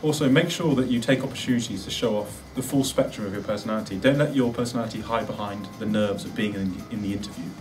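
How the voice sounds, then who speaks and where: A man's voice: lowish voice, fast, few pauses, distant mic, music in the background. A man giving an instructional presentation, as a presenter of practical advice. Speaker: presenter giving interview tips.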